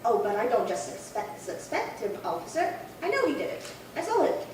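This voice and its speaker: Actress as fluttery old lady, high lively voice, rambling and fussy, radio crime drama, distant echoey hall. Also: a little fast, a little hiss or hum. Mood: indignant. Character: chatty, dithering elderly single woman reporting a theft. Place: live theatre performance space.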